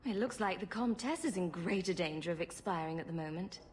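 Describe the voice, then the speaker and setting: A woman's voice: on the low side, brisk, slight background noise, natural voice. An actress playing a sarcastic female rogue adventurer in a video game, dry and teasing. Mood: amused, wry.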